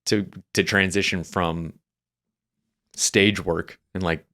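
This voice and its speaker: A man's voice: sits low, fairly even intonation, takes it slowly, fairly bright tone. A young man, a Navy veteran from New Hampshire, telling his life story as a podcast guest in a rambling, restarting way. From New Hampshire, USA. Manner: rambling, many restarts and fillers. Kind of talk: casual interview storytelling.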